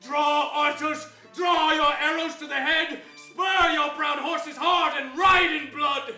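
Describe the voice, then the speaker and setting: A man's voice: steady tone, slow, pushed and bright, soft background music, heavily acted. An actor playing a villainous, scornful warrior king in grand, declamatory Shakespearean stage style. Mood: fierce, defiant. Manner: grand, booming, declamatory delivery. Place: large theatre stage, indoors. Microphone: far camera. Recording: echoey theatre stage, distant mic, faint noise.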